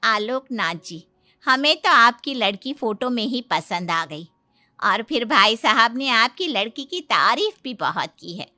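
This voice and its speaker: Woman playing a bright-voiced, protective mother-in-law. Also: on the high side, animated, a little slow, no echo, full character voice. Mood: pleased, polite. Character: protective, affectionate, bossy middle-aged mother-in-law. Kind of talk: moral story character dialogue. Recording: music behind the voice.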